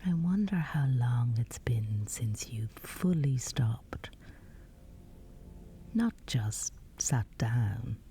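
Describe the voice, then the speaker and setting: A woman guiding a sleep meditation in a breathy, whispery voice, soothing and measured with long pauses. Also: very deep voice, mellow, a little hiss or hum. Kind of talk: guided sleep meditation, scripted.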